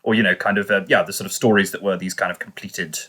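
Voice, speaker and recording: A man co-hosting a podcast, giving his opinions in a hesitant, measured and politely tentative way. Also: deep voice, steady tone, sharp tone, breathy edge. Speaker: podcast co-host. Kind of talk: casual discussion.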